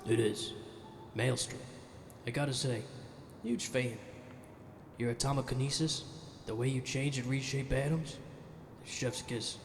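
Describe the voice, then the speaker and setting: A man's voice: fairly even intonation, a little slow, pauses often, close to an everyday voice. A man playing a starstruck, easily frightened henchman guard in a superhero radio drama. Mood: admiring. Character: male guard, starstruck, easily scared fan of villains. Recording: echoey hall, loud noise and rumble.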